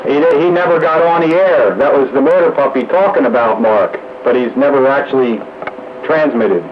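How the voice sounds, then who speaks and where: A man's voice: medium pitch, moderate pace. A man chatting casually as an operator over two-way radio. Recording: muffled, noisy two-way radio audio. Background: clear noise.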